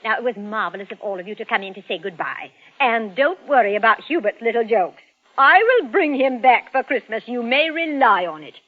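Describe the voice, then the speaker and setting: An actress playing a domineering, scornful wife, imperious and theatrical in old-time radio drama style. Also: female voice, on the high side, lively intonation, brisk. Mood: brisk, assured. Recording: muffled vintage radio recording.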